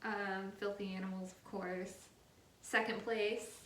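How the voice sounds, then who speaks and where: A woman's voice: medium pitch, level tone, slow pace. A woman in a casual, filler-heavy book chat, picking her words hesitantly as she goes. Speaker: co-host or guest on book vlog. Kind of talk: casual chat about books. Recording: echoey room with clear background noise.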